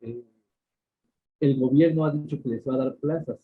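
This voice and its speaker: An older man with a Mexican accent, a doctor answering interview questions in a measured way, pausing often and restarting his sentences. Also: medium pitch, fairly even intonation, breathy edge. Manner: measured, many hesitations and restarts. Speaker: ophthalmologist guest. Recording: tinny phone-like line.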